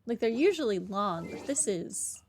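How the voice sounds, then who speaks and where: A woman's voice: medium pitch, expressive, moderate pace, darkish tone, a little hiss or hum. A woman giving casual commentary as she plays through a video game. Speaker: gaming streamer. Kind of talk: casual playthrough commentary, reading game dialogue choices. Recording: faint game music behind.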